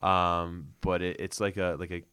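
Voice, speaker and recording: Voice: low voice, completely flat delivery, moderate pace, a bit bright, clean tone, no echo, studio quality. A young American podcast co-host from Michigan chatting casually and explaining things in a hesitant, good-humored, informal way. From Michigan, USA.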